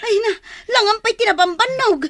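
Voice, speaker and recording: A woman's voice: very high-pitched, normal speed, a bit bright. A woman acting as a fussing, protective wife in an Ilocano radio drama, scolding and exclaiming, with a northern Philippine Ilocano accent. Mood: exasperated. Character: Waldo's fussing, protective wife, exasperated but caring.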